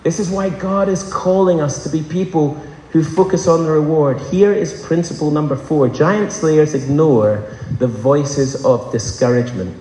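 A man's voice: on the high side, moderate pace, warm tone. A man preaching a sermon to a congregation, impassioned, often asking the room rhetorical questions. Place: large church hall. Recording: echoey church hall with long reverb.

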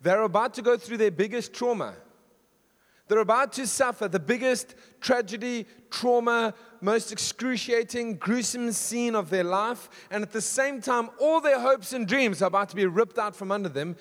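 Male pastor, husky and fairly high, casual asides, punchy emphatic runs; church reverb. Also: normal speed, a bit bright. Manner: conversational, self-deprecating, builds to emphatic lists. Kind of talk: sermon. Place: church hall. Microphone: handheld stage mic.

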